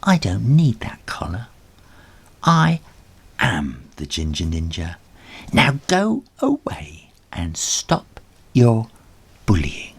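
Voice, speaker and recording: A man's voice: lowish voice, wildly swooping pitch, takes it slowly, creaky, pauses often, husky, full character voice. Man playing a cocky, drawling young kitten. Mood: firm, assured. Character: confident young ginger kitten, cool and taunting.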